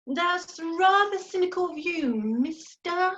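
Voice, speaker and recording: A woman acts an earnest, gushing arty passenger in a radio comedy. Her voice is high and swoops widely. It sounds somewhat distant from the microphone, on a dull recording. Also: expressive, slow, a bit bright, exaggerated voice. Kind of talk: comic radio play acting.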